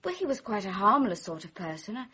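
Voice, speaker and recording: A woman's voice: medium pitch, very animated, quick pace, pushed and bright, slightly rough, exaggerated voice. A woman playing a flustered, defensive young Englishwoman in a radio mystery, with a British accent and hesitant speech. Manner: hesitant, evasive. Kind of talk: radio mystery drama acting.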